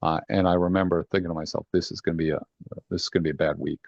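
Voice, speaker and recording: A man's voice: deep voice, flat delivery, gravelly, darkish tone, dry recording, muffled recording. A man in his fifties with a Boston accent, a retired FBI assistant director, telling his stories as a guest with many hesitations and restarts. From New York and Boston. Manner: halting, many "uh" and restarts, earnest. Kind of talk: reflective interview storytelling.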